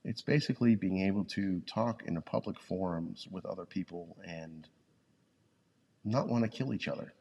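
A man co-hosting a podcast in casual conversation, with a very deep, gravelly voice, speaking haltingly and thoughtfully, lying on a yoga studio floor close to the mic. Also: darkish tone, highs cut off. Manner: halting, restarts often, thoughtful. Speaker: podcast co-host. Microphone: podcast mic, leaning in close.

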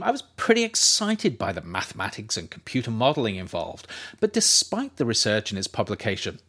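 A middle-aged man narrates scripted non-fiction in the role of author-reader. His delivery is measured and articulate, with a reflective manner and a lively, widely moving pitch. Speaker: author reading his own book chapter as narrator.

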